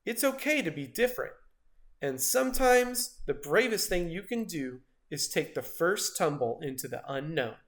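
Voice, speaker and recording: A man's voice: fairly high pitch, expressive, normal speed. A man voicing a small, loyal male worm who speaks earnestly and encouragingly in a children's story. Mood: wise reassurance.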